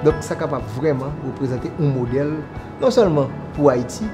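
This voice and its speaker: A man's voice: mid-range voice, fast talker. A man with a Haitian accent speaking in a documentary interview. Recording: loud music over voice.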